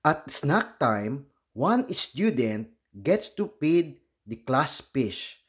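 Slow, animated man reading a storybook aloud. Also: on the high side. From Philippines. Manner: expressive, acts out dialogue and sound words. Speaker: children's storybook reader and host.

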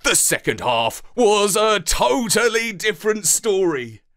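Highly animated male storyteller. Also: measured pace. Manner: dramatic, playful delivery. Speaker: storyteller reading a children's story. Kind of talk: animated scripted narration of a children's story.

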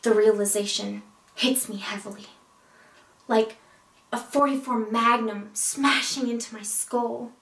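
A woman playing a neurotic, melodramatic single woman in a comedic stage monologue, with deliberate dramatic pauses. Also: fairly high pitch, steady tone, a bit bright. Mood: dramatic dread. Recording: echoey room, distant mic.

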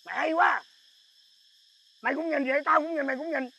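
A man's voice: very high-pitched, fairly quick, gravelly, sharp tone, slight background noise, heavily acted. A man playing a blustering bandit boss. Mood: boastful. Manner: blustering, then panicky stammering shrieks. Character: boastful cowardly male bandit boss, hot-tempered. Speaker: comedy sketch actor. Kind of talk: comic sketch acting.

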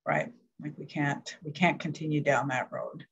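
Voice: mid-range voice, fairly even intonation, normal speed, creaky, slightly rough, lo-fi sound. A host moderating a town hall on a video call, in a friendly, conversational way. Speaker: host, councillor.

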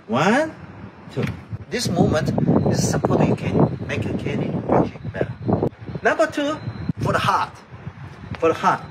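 A man's voice: high-pitched, sing-song, normal speed, long pauses, very noisy. A middle-aged man, not a native English speaker, leading an exercise demonstration outdoors in short, simple, repeated phrases and counts. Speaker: health exercise instructor. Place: outdoor terrace by a brick building.

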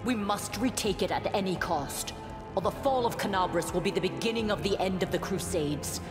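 A woman's voice: mid-range voice, normal speed, lightly acted. A woman playing a stern, resolute military commander in a fantasy game, with a formal, grave and commanding manner. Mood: grave, urgent. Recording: echoey reverberant hall, game music behind.

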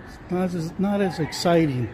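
A man with a fairly high voice narrates a casual tour as he walks through a large indoor mall, filming on a handheld phone. He rambles, trailing off into fillers and restarting his sentences. Faint hum of the mall behind him. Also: normal speed, a crowd in the background. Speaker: vlogger touring a mall. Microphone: handheld phone camera, speaker off screen.

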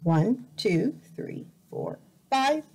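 A woman teacher reading a picture book aloud as a lesson for young learners, deliberate and expressive, repeating words carefully. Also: medium pitch, vocal fry, breathy edge. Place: indoor room, at a desk.

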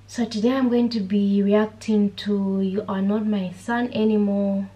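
A woman's voice: medium pitch, flat delivery, unhurried, mellow. A young woman hosting a reaction video, giving a casual intro from her bedroom. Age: young adult. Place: bedroom with gaming chair, indoors. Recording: dull lo-fi sound.

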